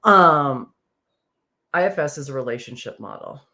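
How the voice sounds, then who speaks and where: A woman therapist co-hosts a podcast in a casual, joking tone. Her voice sits deeper than usual, and her delivery is quick-witted and wry. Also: animated, sharp tone.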